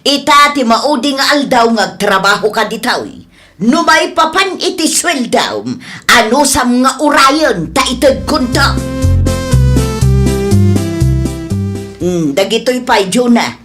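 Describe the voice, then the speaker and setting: Radio drama: woman plays tight-fisted female employer, high voice, bossy, dismissive delivery. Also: lively intonation, a little slow, sharp tone, soft background music. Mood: stern. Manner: curt, bossy. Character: stingy, scolding female shop boss who lies. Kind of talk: Ilocano radio comedy drama acting.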